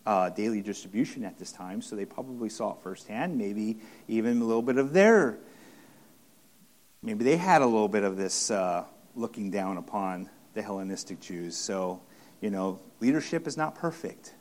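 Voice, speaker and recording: Halting male preacher, steady mid voice. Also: lowish voice. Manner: halting, many restarts and fillers, repeats phrases for emphasis. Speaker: pastor. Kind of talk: expository sermon teaching.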